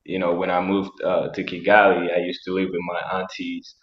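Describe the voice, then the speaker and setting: A young man with a very low voice, telling his personal story as an interview guest. He speaks reflectively and haltingly, pausing on frequent ums. The sound is echoey and dull, like a lo-fi room recording. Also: level tone. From Rwanda. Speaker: musician and guest.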